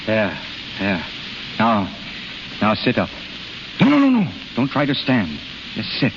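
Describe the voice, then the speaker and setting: An actor playing a proud, excitable unorthodox healer who speaks in short emphatic commands, in 1930s radio drama style. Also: male voice, on the low side, unhurried, exaggerated voice. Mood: soothing, insistent. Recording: muffled, hissy, reverberant 1930s radio recording.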